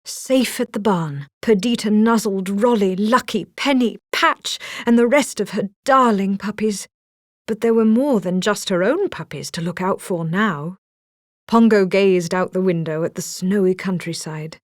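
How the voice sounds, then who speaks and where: A woman narrates a children's storybook with dramatic expression. Her voice sits at a middle pitch. The recording is close and dry, without room sound. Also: measured pace, no echo. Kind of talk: scripted children's read-along narration.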